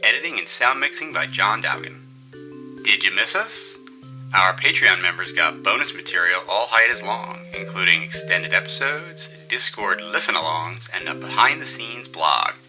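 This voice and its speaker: Very bright, low male announcer. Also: animated, moderate pace, rough recording. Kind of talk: scripted credits narration. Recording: faint music behind.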